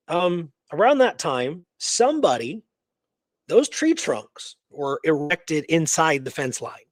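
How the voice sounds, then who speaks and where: A man's voice: a little high, dry recording. A man co-hosting a radio show, casually telling historical research and often checking in with "right?" and "you know".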